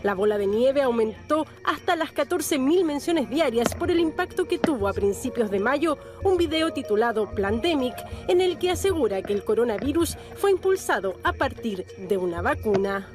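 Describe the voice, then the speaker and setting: A woman reading a news report in a measured, even way. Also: high voice, music in the background. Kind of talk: scripted news narration.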